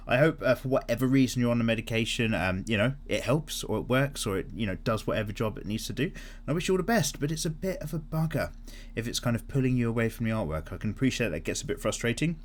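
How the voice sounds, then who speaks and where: A young man chats his way through viewer comments while he sketches. His voice at a middle pitch swings up and down freely, and he rambles with plenty of fillers and the odd casual swear. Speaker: art channel host.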